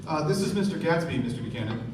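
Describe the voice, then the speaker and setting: A man's voice: on the high side, lively intonation, fast, close to an everyday voice. A man acting an earnest, naive young man in a live radio play, both narrating and in dialogue. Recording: echoey stage, distant mic, noisy room.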